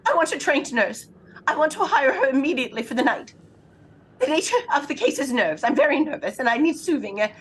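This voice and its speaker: An actress playing a frantic, demanding invalid wife, agitated and imperious, in a suspense audio drama. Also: female voice, high-pitched, a little hiss or hum. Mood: demanding, nervous. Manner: agitated, imperious, breathless pleading.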